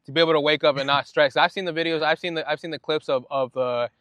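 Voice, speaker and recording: A soft-spoken man from Oceanside, California, speaking as a podcast guest. His voice is smooth and resonant at a middle pitch. He talks reflectively, with frequent restarts, and the sound is close and dry. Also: steady tone, quick pace, no room sound. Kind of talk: casual podcast conversation.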